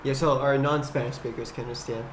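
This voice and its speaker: A man's voice: mid-range voice, fairly even intonation, fast. A young man hosting a casual podcast, joking and teasing his guest as he asks questions. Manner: playful, joking interviewer. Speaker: podcast host. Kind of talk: casual chat. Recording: faint background noise.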